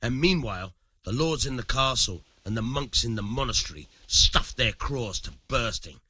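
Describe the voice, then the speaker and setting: An actor playing a cynical, rough-tongued medieval commoner with a husky voice and colloquial, emphatic delivery in a video game. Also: male voice, medium pitch, expressive, moderate pace, pushed and bright, no echo, heavily acted. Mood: bitter, defiant. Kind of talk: video game dialogue acting.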